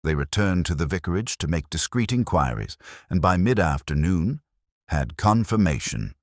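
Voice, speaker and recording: A man's voice: low voice, very animated, moderate pace, no echo, studio quality. A man reading a detective mystery story as scripted narration. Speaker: narrator and reader.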